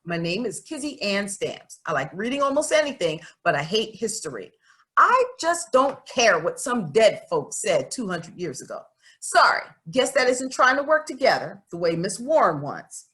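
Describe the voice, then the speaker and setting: Woman reading as an outspoken, lively schoolgirl. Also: fairly high pitch. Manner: folksy, candid, emphatic. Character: outspoken, questioning young schoolgirl writing letters, earnest and stubborn. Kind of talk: expressive storybook read-aloud in first person.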